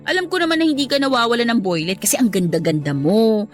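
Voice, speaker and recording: A woman's voice: on the high side, fast talker, faint music behind, close to an everyday voice. A young woman voicing a shy, naive heroine in a radio love story, earnest and easily flustered. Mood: pleading. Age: young adult. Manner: earnest, flustered, then indignant. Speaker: heroine Abby. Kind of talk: radio drama acting.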